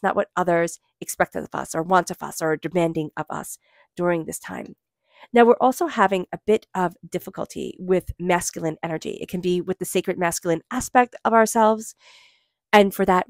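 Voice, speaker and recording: Low-voiced woman giving a tarot reading. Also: vocal fry, no room sound, studio quality. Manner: gentle, reflective, with many fillers. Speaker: tarot reader.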